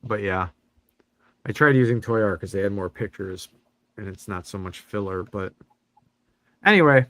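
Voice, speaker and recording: A man co-hosting a casual podcast, talking through toy news in a relaxed, rambling, teasing way. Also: lowish voice, moderate pace, long pauses, no room sound. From Chicago suburbs. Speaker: podcast co-host.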